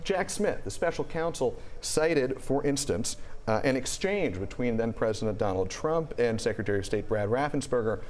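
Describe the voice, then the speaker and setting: A man's voice: mid-range voice, lively intonation, moderate pace, few pauses, darkish tone. American newsman with noise behind him. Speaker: TV news reporter and analyst. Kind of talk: live news explanation, semi-scripted. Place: television news studio.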